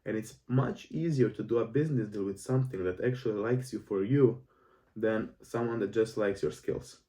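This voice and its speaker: A man's voice: fairly low pitch, moderate pace, mellow, recorded from a distance. A nineteen-year-old man from Croatia with a Croatian accent, talking in an earnest, rambling way to his audience about the jobs he has worked. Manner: earnest, rambling with restarts and fillers. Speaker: self-improvement vlogger. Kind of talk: casual monologue to camera.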